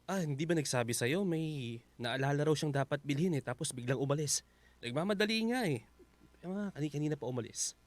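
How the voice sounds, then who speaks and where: A man's voice: on the high side, expressive, brisk, lightly acted. A man with a Filipino accent playing a humble, grateful houseguest in a radio drama, with a polite, self-effacing manner. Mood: casual.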